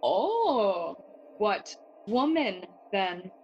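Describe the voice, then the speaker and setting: An actress playing young Hamlet, a witty, brooding and curious noble, in a theatrical bilingual Spanish-English Shakespeare audio play. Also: female voice, mid-range voice, sing-song, extremely slow, muffled recording. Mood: playful sparring. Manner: musing, questioning, theatrical.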